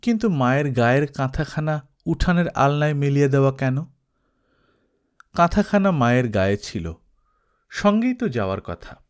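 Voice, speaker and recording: A man reading a literary novel aloud as an audiobook storyteller, expressive, with dramatic pauses. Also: medium pitch, clear, resonant tone. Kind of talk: scripted literary narration.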